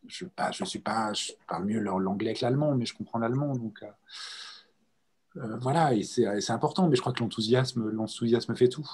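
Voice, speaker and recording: Middle-aged man, husky voice, relaxed rambling panel talk. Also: medium pitch, a little fast. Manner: relaxed, rambling, repeats himself. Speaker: panelist, broadcaster staff. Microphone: video call.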